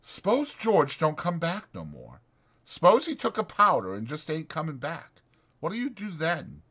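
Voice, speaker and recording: A man's voice: a little high, measured pace, slightly rough, pitched above the performer's own voice, heavily acted. A man voicing a lonely, bitter, needling stable hand in a dramatized audiobook, with folksy, insistent, taunting talk. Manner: insistent, taunting, folksy, drawn into excited speeches.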